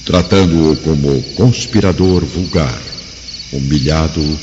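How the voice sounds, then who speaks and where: Very deep Brazilian man narrating solemnly. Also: takes it slowly, long reverb tail, dull audio, noisy background. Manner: solemn, dramatic storytelling. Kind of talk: scripted radio drama narration.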